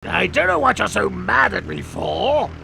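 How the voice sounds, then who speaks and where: An actor playing a manic, cruel male clown villain in a motion comic with a gravelly voice. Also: sits high, sing-song, a little slow, a little hiss or hum, exaggerated voice. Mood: mocking. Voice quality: gravelly, wildly swooping. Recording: with loud music score.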